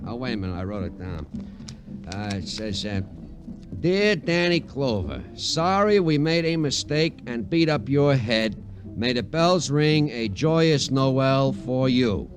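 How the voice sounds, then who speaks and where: An actor voices a wheedling small-time crook who fancies himself Santa in an old radio crime drama. His pitch swings up and down as he rambles in folksy street slang, coaxing and breezy, with music around the voice. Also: expressive, unhurried, natural voice. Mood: innocent, explaining.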